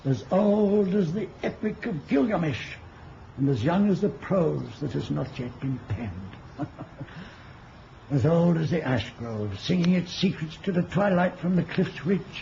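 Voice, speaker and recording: An ancient wizard speaks in a high-pitched voice with grand, poetic, archaic phrasing. He is a wistful mentor in an old radio play. The sound is dull, with some background noise. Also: expressive, unhurried, creaky, warm tone, full character voice.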